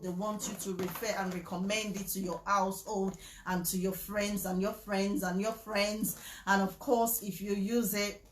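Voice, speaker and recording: A woman, talking casually to the camera, mixing a sales pitch with preaching, emphatic and repetitive, with frequent laughter. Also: slight background noise. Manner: chatty, emphatic, repeats herself, laughs often. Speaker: vlogger promoting supplements. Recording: echoey room.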